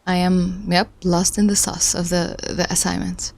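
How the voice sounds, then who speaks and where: A woman from Iraq with a gravelly, fry-tinged voice talks unhurriedly on a study stream. She muses aloud and pokes fun at herself while chatting with viewers. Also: on the low side, little pitch movement, measured pace, a little mellow. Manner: musing, self-deprecating, chats with viewers. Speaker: livestream host studying along. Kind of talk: casual thinking aloud while following a lecture.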